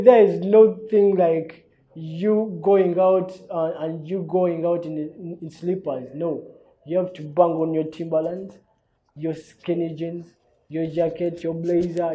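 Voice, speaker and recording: A young man with a Ugandan accent rambles through a casual solo talk show, stumbling over repeated words and tacking on "you know" and "man". His voice sits a little high but sounds dark and mellow. The recording is dull and lo-fi. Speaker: host. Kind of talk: casual monologue.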